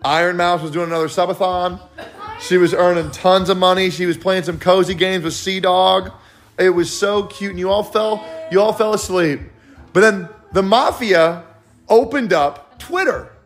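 A man's voice: high-pitched, normal speed. A man acting as narrator of a mafia party game, calling out roles with a playful, laughing manner. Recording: livestream with music behind.

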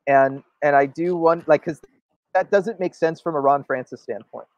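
A man's voice: on the high side, moderate pace, darkish tone, no room sound, lo-fi sound. A man co-hosting a sports show, giving emphatic opinionated commentary and often checking for agreement. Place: indoor room, video call.

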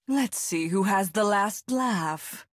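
A woman playing a proud, confident lightning sorceress in a video game, with a husky, breathy, measured delivery. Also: medium pitch, animated, no room sound, studio quality. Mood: proud, calm. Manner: measured, self-assured, aphoristic. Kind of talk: video game character voice lines.

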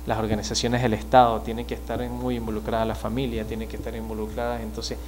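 A man in his thirties from Venezuela, with a Venezuelan accent, speaking as a criminologist guest in a studio interview, measured and explanatory with frequent hesitations. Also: fairly low pitch, monotone, a little mellow, slight background noise. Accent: Venezuelan Spanish. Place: small TV studio. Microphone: mic on desk stand.

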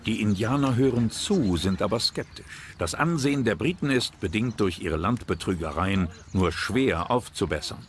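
Very deep-voiced male documentary narrator. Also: expressive, normal speed, few pauses, a little hiss or hum. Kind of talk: scripted history documentary narration. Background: background music.

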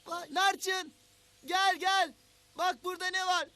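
A man voicing an excitable, mischievous young animal in a children's cartoon, eager and playful. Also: very high-pitched, slow pace, no room sound, full character voice. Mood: excited. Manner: eager, playful, makes sound effects.

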